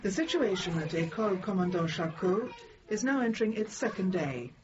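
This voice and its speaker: A woman's voice: mid-range voice, big pitch swings, brisk, close to an everyday voice. A woman playing a formal radio news commentator, delivering a scripted report within a drama. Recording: dull, noisy news heard over radio.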